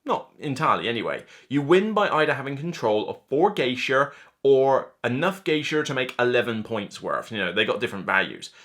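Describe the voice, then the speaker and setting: A man in his thirties talks casually to camera as a board game reviewer. His intonation swings widely and his delivery is animated and chatty. He speaks off the cuff, slipping into imagined reactions as he goes. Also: mid-range voice, fairly quick. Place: indoor room lined with shelves of board games.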